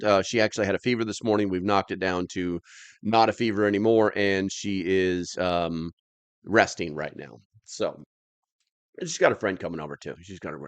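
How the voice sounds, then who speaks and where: A man's voice: on the low side, no echo. A man hosting a live stream, chatting casually as he reads and answers comments in a rambling, plain-spoken, slightly grumbly way.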